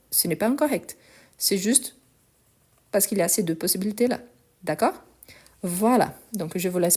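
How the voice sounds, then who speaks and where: A woman whose first language is Portuguese teaches French grammar on a live stream. Her voice is soft and mellow at a middling pitch. She explains patiently and often stops to check that her viewers are following. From Brazil. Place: at home on a lunch break.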